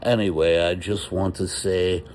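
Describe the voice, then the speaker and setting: A man's voice: low voice, little pitch movement, darkish tone, a little hiss or hum. A man voicing a proud, defiant prisoner who rants and rambles indignantly. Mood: proud, rambling. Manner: slow, indignant, rambling declamation.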